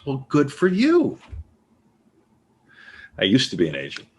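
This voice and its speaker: A man acting as a nosy, teasing former literary agent in a stage play, husky-voiced, with a probing, conversational manner. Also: medium pitch, huge pitch swings, unhurried, heavily acted. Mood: chatty, curious. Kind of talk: naturalistic stage play dialogue.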